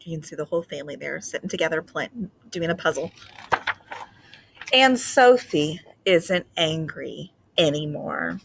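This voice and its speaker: A woman teacher explaining reading ideas to children in a gentle, patient way with frequent fillers, then reading a picture book aloud expressively. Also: sits low, expressive, a little slow, vocal fry, noisy background.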